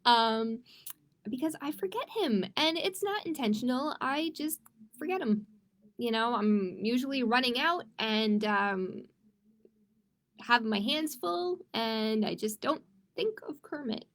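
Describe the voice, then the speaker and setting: A woman's voice: fairly high pitch, expressive, unhurried, fairly bright tone. A woman hosting a livestreamed children's storytime as a librarian, reading aloud expressively and chatting to young viewers with questions.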